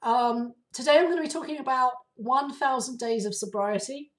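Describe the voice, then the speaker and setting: A woman hosting her own podcast, her voice raspy and hoarse from flu, talking casually with many ums and uhs. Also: on the high side, distant mic. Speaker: podcast host. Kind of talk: casual solo podcast talk.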